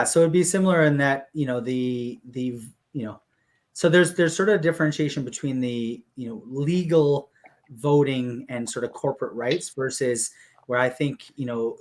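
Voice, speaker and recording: Male interview guest, mid-pitched, relaxed explanatory delivery, frequent verbal fillers. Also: moderate pace. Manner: steady, explanatory, many fillers like "you know" and "um". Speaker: guest explaining a hockey league business model. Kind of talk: casual conversational explanation.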